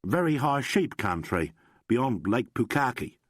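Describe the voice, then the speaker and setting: Bir adamın seslendirdiği kibar, sakin taşralı çavuş. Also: male voice, medium pitch, very animated, no echo, clean studio sound. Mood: respectful. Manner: respectful, plain, measured. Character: calm polite country-bred male police sergeant.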